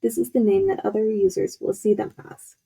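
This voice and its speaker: Very mellow, husky young woman. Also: medium pitch, fairly even intonation, a little fast, low-quality recording. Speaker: student demo presenter. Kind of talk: software demo walkthrough.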